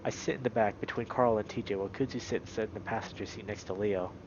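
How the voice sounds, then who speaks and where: A man streaming a visual novel, moving between read-aloud narration and casual, animated commentary. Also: fairly low pitch, fast, lo-fi sound, noisy background.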